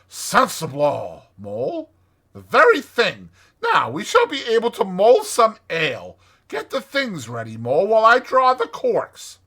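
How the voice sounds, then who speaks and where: A man's voice: high-pitched, huge pitch swings, a little slow, sharp tone, voice pushed up, exaggerated voice. A man voicing a masterful, bossy rat who gives decisive orders in a dramatized children's storybook. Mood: approving, bossy. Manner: commanding, decisive, issuing orders.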